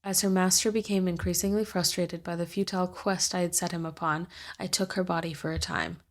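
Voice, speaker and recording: A woman host reading a first-person fantasy story aloud in a measured, deliberate way. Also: fairly low pitch, steady tone.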